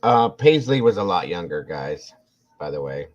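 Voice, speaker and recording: Man, forties, very deep voice, lively pitch, casual livestream chat, reads out comments, dull lo-fi sound. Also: moderate pace. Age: 40s. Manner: reads out chat, chatty and musing. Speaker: livestream co-host. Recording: dull lo-fi audio.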